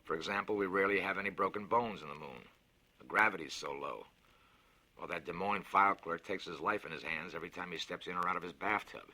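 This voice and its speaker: A man's voice: low-pitched, quick pace, sharp tone, heavily acted. A man voicing a defensive lunar engineering official in an audio story, persuasive and insistent like someone giving sales talk.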